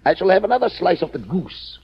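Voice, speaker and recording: An actor playing an urbane, pompous, cold-blooded villain posing as a doctor in a 1940s radio drama. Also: male voice, high-pitched, sing-song, fast talker, slightly rough, full character voice. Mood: grandly leisurely. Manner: pompous, grandiloquent. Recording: muffled, hissy 1940s radio recording.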